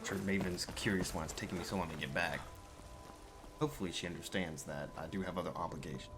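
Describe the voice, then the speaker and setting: Very low-voiced man musing through game roleplay. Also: steady tone, fast talker, background noise. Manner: casual, musing aloud. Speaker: player role-playing his game character. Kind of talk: in-character video game roleplay commentary. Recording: game music behind the voice. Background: game music.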